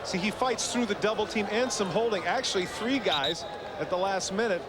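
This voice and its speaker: A man's voice: high-pitched, normal speed, crowd noise. An American man giving live football color commentary, explaining plays in a conversational, opinionated way. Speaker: color analyst. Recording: very noisy live stadium broadcast.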